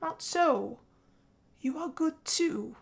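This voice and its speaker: Casting: earnest vampire brother played by a woman, gravelly and slow, for game-based story drama, music underneath. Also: sits high, animated, heavily acted. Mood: insistent. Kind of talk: scripted machinima drama.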